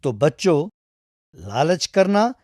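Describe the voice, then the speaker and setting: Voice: medium pitch, big pitch swings, slow, a little mellow, no room sound, clean studio sound. A storyteller narrating a scripted tale for children.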